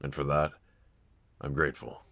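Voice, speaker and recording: A man's voice: very deep voice, fairly even intonation, normal speed, creaky, a bit bright, heavily acted. A man playing a brooding young villain roommate in an intimate audio roleplay, confiding hesitantly and wryly to one listener. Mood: bitter, confessional. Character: brooding young male villain roommate, self-loathing but softly grateful.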